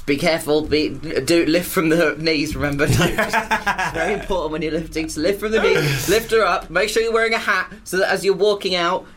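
A man's voice: a little high, fairly even intonation, moderate pace, few pauses, a bit bright. Young male co-host, dry sarcastic riffs, faint hiss behind. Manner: crude, sarcastic, rambling riffs. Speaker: podcast co-host. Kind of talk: casual comedy chat.

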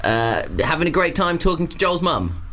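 Animated high-voiced British man bantering. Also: normal speed, very noisy. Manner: irreverent, crude joking. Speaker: radio show co-host. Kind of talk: casual comic banter.

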